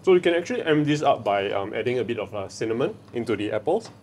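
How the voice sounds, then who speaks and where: A man's voice: mid-range voice, quick pace, slight background noise. A man, casually explaining a recipe in a kitchen, with loose fillers. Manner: relaxed, explains with many fillers. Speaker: chef showing the recipe. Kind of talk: casual cooking chat. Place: commercial kitchen, indoors.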